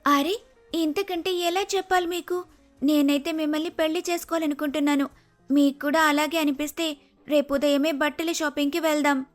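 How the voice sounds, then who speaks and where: A woman's voice: high-pitched, fairly even intonation, brisk, soft background music, lightly acted. A woman playing a forthright, affectionate young bride-to-be in a story. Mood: insistent.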